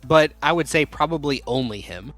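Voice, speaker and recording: A man running a tabletop game as game master, relaxed and quick to laugh. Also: on the high side, faint music behind.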